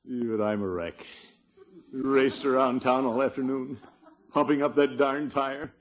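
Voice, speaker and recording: A man's voice: medium pitch, steady tone, unhurried, highs cut off, natural voice. An actor playing a blustery, put-upon middle-aged family man in a 1950s radio sitcom, on an old recording. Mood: weary, relieved.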